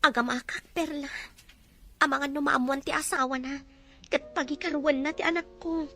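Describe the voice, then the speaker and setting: A woman's voice: high voice, fairly even intonation, normal speed, a bit bright. An actress playing an earnest young mother worried about her family.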